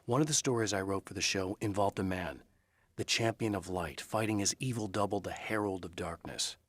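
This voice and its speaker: A husky male voice, recorded close and dry, as a weary writer hero in a thriller game. He is grave, intense and matter-of-fact. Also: low voice, a little fast, no echo. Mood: brooding. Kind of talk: video game acting, brooding monologue and terse dialogue.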